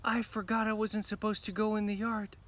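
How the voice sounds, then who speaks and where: A man's voice: sits high, level tone, a little fast, a bit bright, voice pushed up. A man voicing a picky, anxious young girl in a live folktale, with a pleading, exclaiming manner. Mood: dismayed. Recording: noisy live recording.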